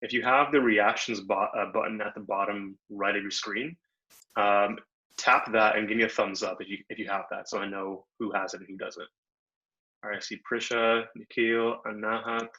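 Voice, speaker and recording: A man's voice: lowish voice, steady tone, normal speed, bright, forceful tone. A young man from Bangladesh giving a casual, upbeat online workshop talk over a video call, full of slang and fillers. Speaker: workshop presenter. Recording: dull lo-fi video call audio.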